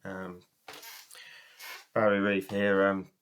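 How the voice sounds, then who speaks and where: A man from the UK talks casually to camera about business, his voice very low and husky from a cold. He rambles a little, with fillers and restarts. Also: completely flat delivery, takes it slowly, a bit bright. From United Kingdom. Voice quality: husky from a sore throat. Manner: rambling, many ums and restarts. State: has a cold and sore throat. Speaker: network marketing business coach.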